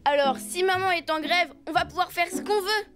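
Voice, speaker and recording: High-pitched cheeky cartoon boy. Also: brisk. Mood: defiant. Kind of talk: animated children's cartoon dub. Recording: music behind the voice.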